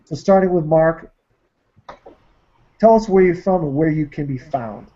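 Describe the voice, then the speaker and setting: A man's voice: fairly high pitch, measured pace, warm tone. A man from Massachusetts hosting a movie podcast panel, talking enthusiastically with many ums and uhs over dull online call audio. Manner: enthusiastic, filler-heavy. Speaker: podcast host. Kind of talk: casual panel show wrap-up.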